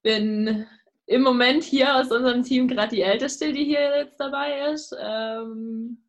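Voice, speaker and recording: A woman of twenty-four introduces herself at an easy, hesitant pace. Her voice sits a bit high, and she speaks slowly. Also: steady tone, muffled recording. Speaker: ski jumper, podcast guest. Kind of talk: casual self-introduction.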